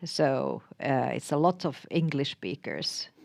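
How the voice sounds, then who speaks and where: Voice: mid-range voice, expressive, takes it slowly, gravelly, a little mellow, dry recording. Attentive interviewer, dry close sound. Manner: attentive, frequent murmured backchannels. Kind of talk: interview conversation.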